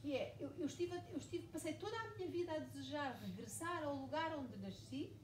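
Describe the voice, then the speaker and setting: A woman's voice: sits high, expressive, fairly quick. A woman in her forties from Mozambique, a writer speaking at a live book event, telling her story emphatically with lots of repetition. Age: 40s. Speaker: author guest. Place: bookshop event room. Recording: echoey room, far mic, noisy.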